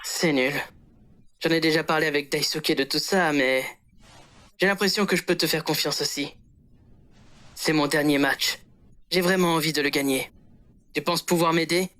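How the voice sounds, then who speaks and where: Woman playing an earnest, lowish-voiced teenage boy. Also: moderate pace, pauses often, fairly bright tone. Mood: worried, confiding. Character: earnest, sporty teenage boy, basketball player. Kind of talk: anime fan dub acting. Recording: music under the voice.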